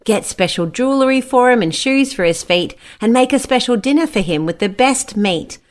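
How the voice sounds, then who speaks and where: A woman's voice: fairly high pitch, expressive, moderate pace. A woman narrating a scripted children's Bible story and acting out the quoted speech. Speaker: storyteller, a mother.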